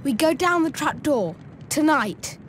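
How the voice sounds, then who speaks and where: A woman's voice: high voice, expressive, a little slow, a little hiss or hum. A woman voicing a brave, earnest young boy wizard in a video game. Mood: determined.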